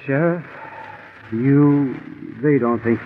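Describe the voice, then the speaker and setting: An actor playing a stern, righteous farmer, formal and guarded, in 1950s radio drama style. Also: male voice, medium pitch, slow, close to an everyday voice. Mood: shocked, protesting. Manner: indignant, formal, defensive. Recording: tinny, noisy old radio broadcast.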